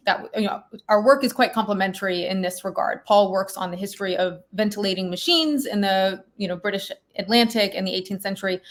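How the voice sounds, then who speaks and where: Female academic guest, conversational and thoughtful, mid-range voice, explaining her research. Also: medium pitch. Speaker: guest, historian.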